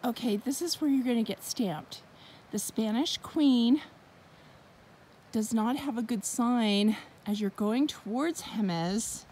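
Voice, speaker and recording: A woman's voice: a little high, slow, a little mellow, slight background noise, rough recording. A woman casually narrating a road tour, explaining directions in a practical way. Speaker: tour guide giving directions.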